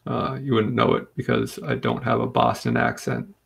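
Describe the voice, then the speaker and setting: A man from Rhode Island hosting a live comic book stream, chatting calmly and steadily while reading comments. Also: medium pitch, creaky, slightly rough. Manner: calm, steady, unhurried. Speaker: livestream host.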